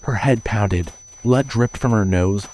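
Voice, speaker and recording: A man's voice: lowish voice, a little slow, a little mellow, a little hiss or hum, low-quality recording. A man reading scripted horror fiction as the narrator of an audio drama.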